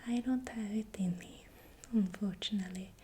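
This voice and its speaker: A woman narrating in ASMR style in a breathy, unvoiced whisper, speaking softly and deliberately. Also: mid-range voice, a little hiss or hum. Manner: slow, deliberate, repeats words softly. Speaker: ASMR creator narrating folklore.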